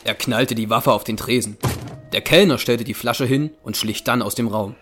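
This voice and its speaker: A man's voice: medium pitch, normal speed, a bit bright. A man giving dramatic scripted narration as the storyteller of an audio drama. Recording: music bed behind narration.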